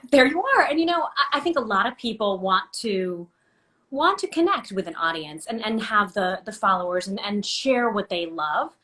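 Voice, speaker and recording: A woman's voice: medium pitch, expressive, normal speed, a bit bright. A woman hosting an interview, asking questions in a conversational way and repeating words as she starts phrases. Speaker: host. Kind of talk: interview host.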